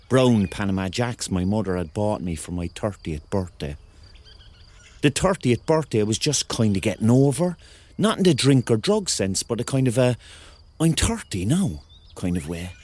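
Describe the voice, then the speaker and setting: A man's voice: medium pitch, a little mellow. An actor playing a hapless, self-mocking thirty-year-old man with a Cork accent, telling his story as a rambling, wry confession in a radio drama. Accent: Cork Irish.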